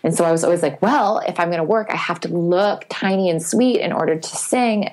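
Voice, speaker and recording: A woman guest on a podcast interview talks candidly and thoughtfully, with many fillers, and grows animated at times. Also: on the low side, brisk. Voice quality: sweet singing voice.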